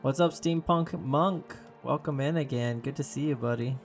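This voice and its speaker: A young man, hoarse and losing his voice, chatting casually with viewers while streaming a game. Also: medium pitch, normal speed, soft background music. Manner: chatty, talks to viewers by name. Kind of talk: casual live-stream chat.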